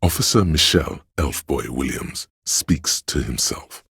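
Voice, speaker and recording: Profoundly deep, gravelly brooding narrator. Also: male voice, expressive, measured pace, pushed and bright, no room sound, clean studio sound, full character voice. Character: brooding omniscient inner voice narrating weary police life. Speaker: voice actor narrating a detective game. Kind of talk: dramatic literary scripted narration.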